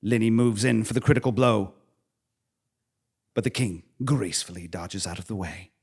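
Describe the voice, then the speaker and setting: A man's voice: on the low side, moderate pace, a little mellow, breathy edge. A man acting a nervous, bumbling court jester in a comic stage play, hesitant and theatrical. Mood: mystical storytelling.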